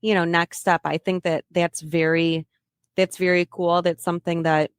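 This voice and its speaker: A woman's voice: lowish voice, level tone, moderate pace, clean tone, no room sound, studio quality. A woman hosting a podcast interview in a casual, chatty way, often restarting her phrases. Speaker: podcast host.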